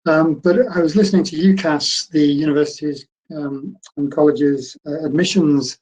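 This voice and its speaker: An older man, a medical school professor, giving a conversational online lecture in a croaky voice, explaining things in an encouraging way. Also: a little high, moderate pace, a little mellow, rough recording.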